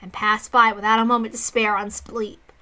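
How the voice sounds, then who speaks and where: Casting: high, bright female reader for visual novel narration, with informal asides. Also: little pitch movement, moderate pace. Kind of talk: dramatic narration with casual asides.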